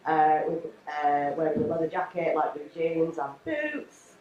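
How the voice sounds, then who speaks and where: A woman in her thirties with a low voice and a cold, a little sniffly as she chairs a conference panel. She talks in a friendly, chatty way, with plenty of ums, into a venue microphone. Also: very animated, measured pace, dull audio, slight background noise. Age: 30s.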